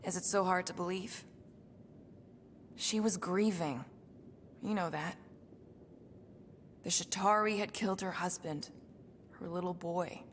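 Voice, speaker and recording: An actress playing a guarded, serious female assassin in a video game, speaking in a measured, dry, deliberate way. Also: fairly low pitch, in a large hall, slight background noise, close to an everyday voice. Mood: somber. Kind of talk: dramatic video game acting.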